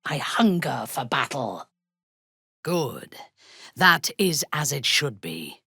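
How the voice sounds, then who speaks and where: A woman playing a haughty, ruthless dark elf warrior in a fantasy video game, speaking in a measured, imperious, formal way with a breathy, husky voice. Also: a bass voice, very animated, no room sound, clean studio sound, full character voice. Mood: cold resolve. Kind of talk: scripted video game character lines.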